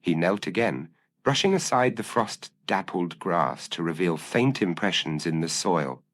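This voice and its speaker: A man's voice: sits low, animated, unhurried, a bit bright. A man reading scripted narration of a gothic mystery story.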